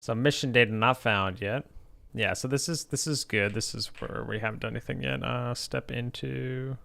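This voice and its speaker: A man streaming his game development, chatting casually and thinking out loud with many fillers while encouraging viewers. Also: medium pitch, steady tone, moderate pace, vocal fry. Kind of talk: casual livestream chat while coding.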